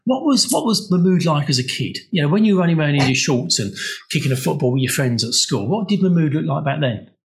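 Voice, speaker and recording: A man's voice: on the high side, very animated, fast talker, gravelly. A man hosting a podcast, opening with a scripted intro and asking probing questions with many restarts. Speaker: podcast host.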